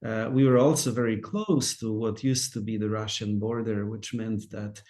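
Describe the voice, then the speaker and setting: A man with a Polish accent gives a reflective talk, full of pauses and restarts. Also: lowish voice, steady tone, a little mellow. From Poland. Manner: hesitant, many fillers and restarts. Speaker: speaker.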